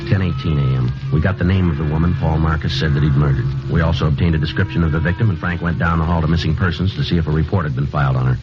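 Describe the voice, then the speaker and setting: An actor playing a clipped, matter-of-fact police detective sergeant who also narrates in a 1950s radio drama. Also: male voice, extremely low pitch, rapid-fire, hardly any pauses, highs cut off, loud music behind the voice. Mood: deadpan. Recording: hissy old radio broadcast recording.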